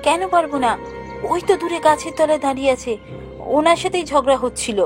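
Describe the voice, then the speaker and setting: A woman voicing a curious, stubborn child who narrates a spooky cartoon adventure in a suspenseful, expressive way. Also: high voice, fast, over music, close to an everyday voice. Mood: insistent. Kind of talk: dramatic scripted ghost-story narration for animation.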